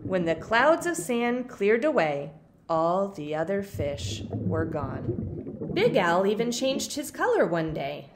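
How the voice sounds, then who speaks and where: Woman, children's storybook read-aloud, highly animated pitch, dramatic pauses, noticeable background noise. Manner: dramatic pauses and emphasis for young listeners. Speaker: storybook reader. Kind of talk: expressive children's picture-book read-aloud.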